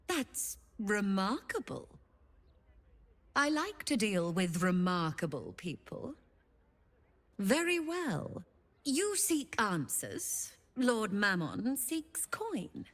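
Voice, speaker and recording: A woman's voice: mid-range voice, huge pitch swings, slow pace, heavily acted. A woman playing a sly, greedy female devil who trades infernal bargains in a video game, theatrical, teasing and mock-courteous. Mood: coy. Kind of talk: video game character acting.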